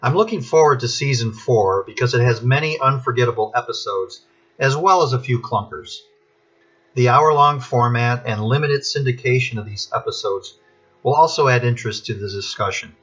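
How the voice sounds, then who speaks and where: A man giving prepared spoken commentary as a podcast contributor, in measured, formal phrasing. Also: medium pitch, fairly bright tone, slightly rough. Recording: dull lo-fi recording.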